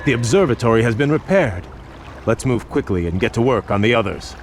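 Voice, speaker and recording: An actor playing a proud, noble elven prince, formal and restrained with simmering resentment, in a fantasy video game. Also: male voice, mid-range voice, lively intonation, brisk, close to an everyday voice. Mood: composed. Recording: music behind. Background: game music.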